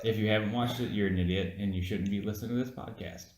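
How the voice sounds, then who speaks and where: A man with a fairly deep voice chats casually as a podcast co-host. He is blunt and quick to argue, with plenty of swearing. The room around him rings with clear echo. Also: fairly even intonation, background noise. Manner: blunt, argumentative, swears freely. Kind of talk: casual movie review chat. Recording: echoey room.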